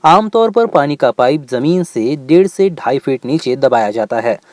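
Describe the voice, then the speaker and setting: A man's voice: mid-range voice, expressive, measured pace, a little mellow, no echo. A man delivering scripted news narration as a voice-over reporter.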